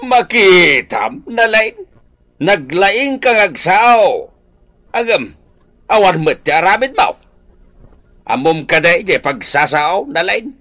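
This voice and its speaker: A man with a Filipino accent playing a bossy, exasperated village captain in a radio drama, scolding with emphatic, drawn-out words. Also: high-pitched, big pitch swings, pushed and bright, exaggerated voice. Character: exasperated village captain bossing his staff around.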